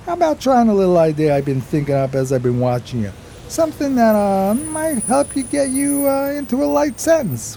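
Folksy 1920s street pal and storyteller, male actor; soft dark timbre, on the higher side, slangy and loose. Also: gravelly, slight background noise, full character voice. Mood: concerned, coaxing. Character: streetwise, loyal homeless pal and narrator, 1920s New York. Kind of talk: radio play acting and storytelling.

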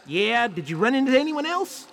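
An actor playing a suspicious, curt outlaw gang boss in a western radio drama. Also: male voice, extremely high pitch, very animated, moderate pace, creaky, a bit bright, heavily acted. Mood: impatient, suspicious. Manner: curt, commanding.

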